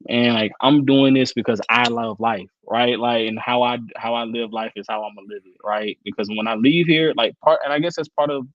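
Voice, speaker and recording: A man in his early thirties speaks as a guest on an interview show over a video call. He talks in an animated, slangy way full of fillers and restarts. The call audio is dull and slightly lo-fi, and it has a dry, close sound. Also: medium pitch, fairly quick, few pauses, dry recording. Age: 30s. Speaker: guest, football analyst. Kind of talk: casual interview chat.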